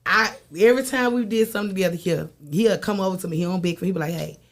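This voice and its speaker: A woman with a mid-pitched voice talks casually as a guest in a group interview. She is conversational and animated in how she tells things. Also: fast talker. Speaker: guest, singer and new stage actor.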